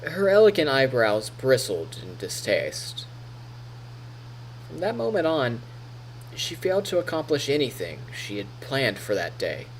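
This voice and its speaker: Lively male streamer reading a story aloud. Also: mid-range voice, a little slow, a little hiss or hum, rough recording. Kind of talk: narration read aloud with casual commentary. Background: game music.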